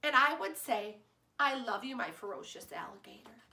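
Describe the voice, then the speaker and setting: A woman voicing a loving, patient mother who answers softly and reassuringly, in a roomy-sounding recording. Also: on the high side, sing-song, normal speed, heavily acted. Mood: tender. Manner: tender, reassuring storybook delivery. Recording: roomy echo.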